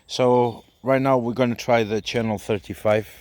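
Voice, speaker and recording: A man making halting, repetitive radio calls and casual commentary during an outdoor antenna test. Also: mid-range voice, fairly even intonation, a little mellow, dry recording. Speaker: amateur CB radio operator testing an antenna. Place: outdoors in a park.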